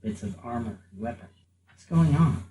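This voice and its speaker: A man's voice: mid-range voice, lively intonation, moderate pace, mellow. A man playing a tabletop game, talking casually, at times in character. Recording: echoey room with faint noise.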